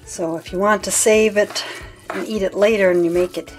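A woman's voice: medium pitch, fairly even intonation, normal speed, darkish tone. A middle-aged woman in a home kitchen, casually explaining step by step how she cooks a dish. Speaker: home cook teaching a recipe. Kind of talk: casual cooking demonstration. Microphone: camera mic. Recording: music playing under voices.

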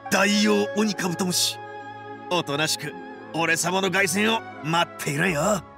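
Brash, gravelly, high-pitched oni boss. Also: male voice, measured pace, music in the background. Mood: cocky. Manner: cocky, rough slangy speech. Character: brash, dim, boastful young male oni gang boss. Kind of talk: video game voice acting.

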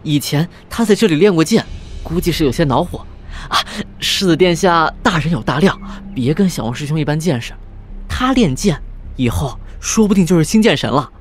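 A man's voice: a little high, animated, brisk, a little hiss or hum, natural voice. A man playing a timid, good-natured young Taoist monk in a wuxia audio drama, speaking in an ingratiating, placating way. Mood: placating, flattering.

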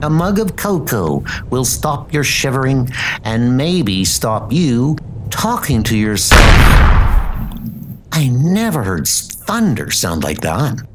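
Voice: mid-range voice, big pitch swings, takes it slowly, slight background noise. A performer voicing a fussy, plain-spoken elderly woman, a castle housekeeper, in a comic book dub. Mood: grumbling.

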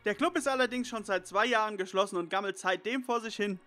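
High bright voice, eager nerdy boy sleuth acted by a man, gadget lover, radio play parody. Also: fast talker, soft background music. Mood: eager, confident. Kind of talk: audio drama parody acting.

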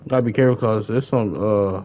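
A man's voice: on the low side, fairly even intonation, fast, dull audio, a little hiss or hum. A man livestreaming a game, chatting casually in slang and often repeating his phrases. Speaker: livestreamer and gamer. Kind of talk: casual gaming livestream banter.

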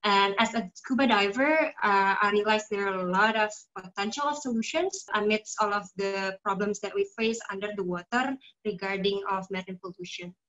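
A woman's voice: medium pitch, normal speed, few pauses, a bit bright. Indonesian-accented woman, dull call audio. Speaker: panelist, foundation founder. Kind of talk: self-introduction in non-native English. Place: room, indoors. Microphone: video call.

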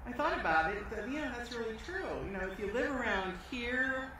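A woman's voice: on the high side, expressive, brisk. An older American woman pastor giving a sermon in a conversational, wryly humorous way, outdoors at a lectern. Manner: wry, dryly humorous. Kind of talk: sermon, read with conversational asides. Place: outdoors at a lectern outside a church. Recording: very echoey, distant, clearly noisy outdoor recording.

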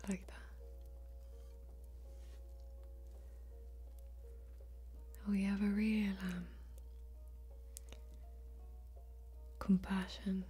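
A young woman playing a kindly, protective fantasy warrior in a soft-spoken ASMR role-play, gentle, whispery, folksy and reassuring, close to the microphone. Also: medium pitch, little pitch movement, fairly quick, slightly rough, soft background music. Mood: admiring, tender. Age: young adult.

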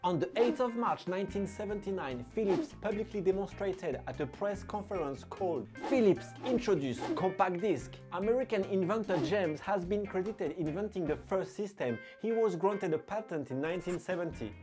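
A young adult man hosting a scripted, playful fact vlog in an animated way. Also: sits high, normal speed, hardly any pauses. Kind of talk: energetic scripted fact-of-the-day vlog with skits. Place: small furnished room indoors. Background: background music.

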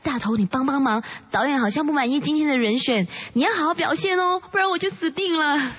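Casting: woman as earnest young storyteller for radio drama, high voice, lo-fi recording. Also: fast talker, natural voice. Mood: anxious, urging. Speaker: narrator and actress. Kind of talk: radio drama narration and acting. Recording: dull lo-fi audio, music behind.

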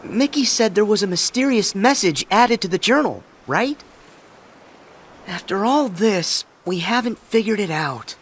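High-voiced, plucky boy hero. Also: expressive, measured pace, a bit bright, a little hiss or hum, heavily acted. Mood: friendly, earnest. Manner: earnest, eager. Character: plucky, stubborn, optimistic young boy hero. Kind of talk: video game cutscene acting.

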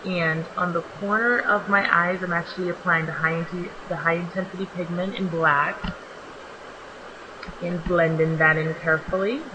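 A young woman narrates a home makeup tutorial in a relaxed, chatty voice-over, her voice a little raspy because she has just woken up. She fills pauses with ums as she goes. A clear hiss of noise runs under the home recording. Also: fairly low pitch. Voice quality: slightly raspy. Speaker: beauty vlogger. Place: small home bathroom.

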